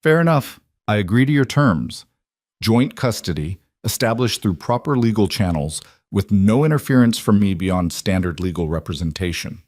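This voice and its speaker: A man voicing an earnest, remorseful yet controlling mafia boss husband in an audiobook, measured and insistent. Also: fairly low pitch, expressive, a little mellow, dry recording, studio quality. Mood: resigned.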